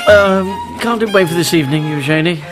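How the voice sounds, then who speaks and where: An actor playing a wealthy, irritated father, huffy, hurried and evasive in a radio drama. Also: sits high, over music, close to an everyday voice.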